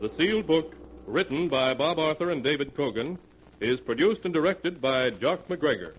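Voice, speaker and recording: A man narrating a scripted 1940s radio horror anthology in a theatrical, ominous manner with deliberate phrasing. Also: a little high, lively intonation. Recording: noisy vintage 1940s radio recording.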